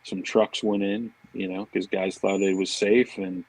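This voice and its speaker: A man's voice: deep voice, flat delivery, unhurried, a little mellow, low-quality recording. A man chatting casually and telling informal stories as a podcast guest. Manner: informal, anecdotal, peppered with "man" and "you know". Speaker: podcast guest, fishing marketing director. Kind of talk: casual chat.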